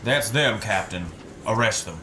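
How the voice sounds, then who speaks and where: A man's voice: lowish voice, unhurried, bright, forceful tone, a little hiss or hum. A man voicing a haughty male elf of the royal court, speaking in an imperious, affronted tone.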